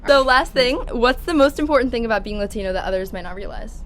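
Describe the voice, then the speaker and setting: A woman's voice: on the high side, expressive, quick pace, slight background noise. A young woman hosting a casual school interview segment, keeping her prompts short and friendly. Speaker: student interview host.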